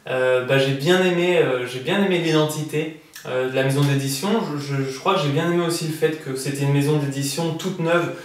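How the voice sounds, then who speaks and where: A man in his thirties, an author from France, answering interview questions in a relaxed, hesitant way with many fillers and restarts. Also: fairly high pitch, a little fast, a little hiss or hum. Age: 30s. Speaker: prize-winning author interviewed. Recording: echoey room, voice from far off the mic.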